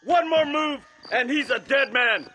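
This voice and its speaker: A fierce, threatening rebel fighter in a western video game. He declaims in a very high shout over very loud combat noise. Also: unhurried. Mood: menacing.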